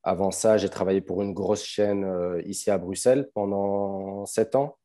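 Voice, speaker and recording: A man with a Belgian French accent, a strength coach talking as a podcast guest in a relaxed, hesitant way with many fillers. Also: low-pitched, normal speed. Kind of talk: casual podcast conversation.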